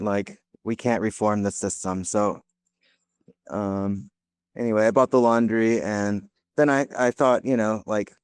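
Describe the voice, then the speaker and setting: A young American man from the United States, answering interview questions casually with many pauses, fillers and restarts. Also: on the low side, darkish tone, clean tone, no echo. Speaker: guest, laundromat owner.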